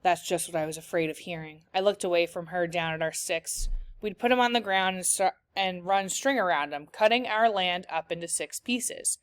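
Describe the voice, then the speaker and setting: A woman teacher reading a children's novel aloud, with clear storytelling after a few discussion questions. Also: fairly low pitch, normal speed, dry recording.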